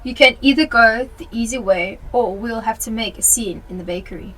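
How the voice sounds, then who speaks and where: A woman's voice: on the high side, fairly quick, fairly bright tone, low-quality recording. A teenage woman playing a blunt, pressing police detective in an amateur radio play. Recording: light background noise.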